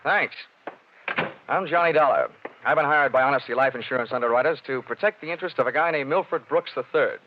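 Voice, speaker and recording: An American actor playing a wry, tough insurance investigator in a 1940s radio detective drama, with a clipped, sardonic delivery. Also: male voice, medium pitch, quick pace, natural voice. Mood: pressing, hard. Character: wry, tough male freelance insurance investigator, hard-boiled. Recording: dull vintage 1940s radio recording.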